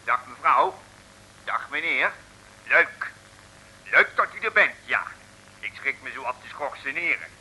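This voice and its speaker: Sharp, gushing Dutch chip seller. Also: male voice, mid-range voice, very animated, a little slow, pauses often, breathy edge, exaggerated voice, poor audio. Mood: dazed, gushing. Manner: chattering, ingratiating, muttering asides. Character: gushing, forlornly cheerful male chip-stall owner. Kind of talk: 1960s comic radio serial acting. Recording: old radio recording with faint noise.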